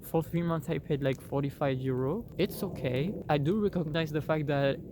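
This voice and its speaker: A man's voice: on the high side, moderate pace, background noise. A young man with a French accent gives a casual, rambling review of an app, full of fillers and restarts, speaking outdoors into a phone. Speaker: vlogger. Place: outdoors in cold weather. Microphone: phone held by the speaker.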